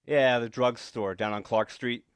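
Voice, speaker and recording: A man's voice: medium pitch, steady tone, a little slow, clean tone, no echo, lightly acted. Man playing a shifty, stammering husband, close dry sound. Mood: evasive, uneasy. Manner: stammering, evasive, repeats words. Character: shifty, nervous husband hiding a dark secret, menacing. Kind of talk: 1940s radio suspense acting.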